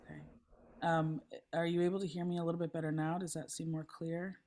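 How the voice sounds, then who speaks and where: A woman facilitating an online meeting, a little hoarse, friendly and chatty with frequent ums, speaking through a laptop mic on a video call. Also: low voice, level tone, brisk, background noise. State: slightly hoarse. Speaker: session facilitator. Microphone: laptop mic, then headphones.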